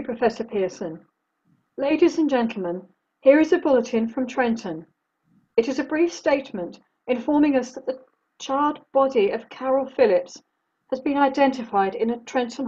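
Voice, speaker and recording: A woman playing a composed radio news announcer in a drama, reading grave bulletins in a formal, measured way. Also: high voice, dark tone, husky, dull audio.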